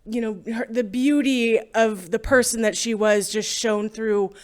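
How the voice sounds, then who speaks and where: A woman speaking at a memorial, earnest and halting, filling her sentences with "you know". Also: on the high side, steady tone. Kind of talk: informal spoken remembrance.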